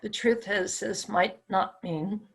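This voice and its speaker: A woman with a gravelly, husky voice repeats phrases back one by one. She is the client in a tapping session and echoes each line after it is given to her. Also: mid-range voice, slow. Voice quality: crackly, raspy voice.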